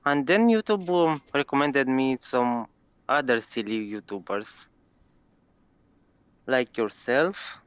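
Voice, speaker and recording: A man from Romania with a Romanian accent, answering interview questions in a halting way with dry humor. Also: medium pitch, smooth, no echo. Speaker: guest player.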